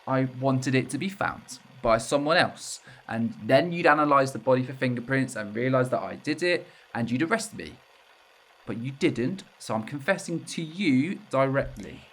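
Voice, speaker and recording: An actor playing a bitter, self-loathing widowed ex-convict confessing to murder in an audio drama, with halting delivery. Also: male voice, mid-range voice, expressive, fairly bright tone.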